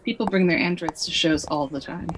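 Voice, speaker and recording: An actress playing a busy, distracted theatre festival director in a radio play, with a brisk manner. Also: female voice, on the low side, a little hiss or hum, natural voice, rough recording.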